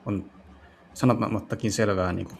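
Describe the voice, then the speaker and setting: A man doing a casual let's play, translating as he goes and haltingly searching for words. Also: lowish voice, fairly even intonation, vocal fry, breathy edge. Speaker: streamer playing and translating visual novels.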